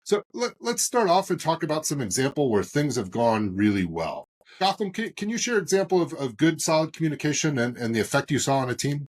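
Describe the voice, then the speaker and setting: Husky-voiced man hosting a podcast. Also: a little high, brisk. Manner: rambling, many fillers and restarts, animated emphasis. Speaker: podcast host and moderator.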